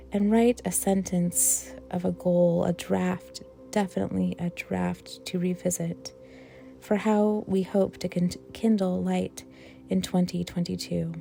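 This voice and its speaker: A woman leading a guided meditation for worship, speaking in a gentle, reflective manner with unhurried, pausing phrases. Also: medium pitch, vocal fry. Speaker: worship leader guiding a meditation.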